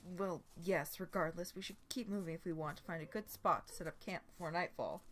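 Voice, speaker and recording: A woman voicing a prim, stuffy young man who gets flustered, in an animated story reading. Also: on the low side, fairly quick, slight background noise, natural voice. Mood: stiff, evasive. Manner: pompous, stammering when flustered.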